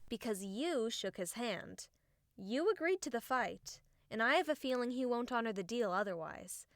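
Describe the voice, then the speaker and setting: A woman's voice: on the high side, lively intonation, normal speed, no echo, clean studio sound. A woman playing a serious, strategic young female fighter in an audiobook, explaining things matter-of-factly. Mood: firm, instructive. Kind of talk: audiobook character acting.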